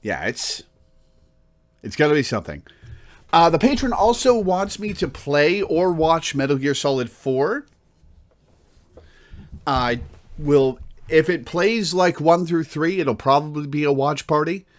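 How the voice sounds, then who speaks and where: Male streamer, casual chat, lively swinging intonation, hesitant, thinking aloud. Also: fairly high pitch, measured pace, long pauses. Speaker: livestream host.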